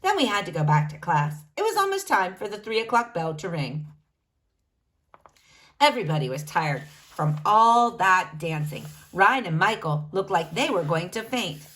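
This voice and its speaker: A woman reading a storybook aloud to children in an expressive, animated narrating style. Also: lowish voice, moderate pace. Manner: addresses young listeners directly, animated.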